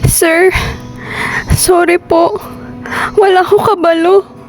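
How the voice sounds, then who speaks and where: A woman's voice: very high-pitched, fairly even intonation, slow, gravelly, faint music behind, exaggerated voice. A woman with a Filipino accent acting a young model contestant in an amateur radio drama. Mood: apologetic.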